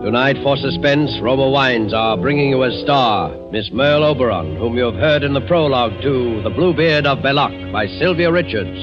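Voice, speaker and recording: A man introduces a 1940s radio thriller in a formal, suspenseful way. His medium-pitched voice sits over clear music on a muffled old broadcast. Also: lively intonation, normal speed, few pauses. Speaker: radio show announcer.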